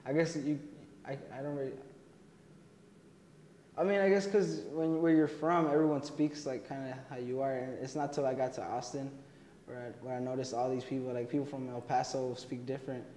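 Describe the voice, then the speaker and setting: A man's voice: mid-range voice, brisk, reverberant, big-room sound, a little hiss or hum, low-quality recording. A young man from Texas, a college student, answering interview questions casually with lots of fillers and restarts. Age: young adult. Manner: relaxed, many fillers and restarts. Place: indoor room, plain wall.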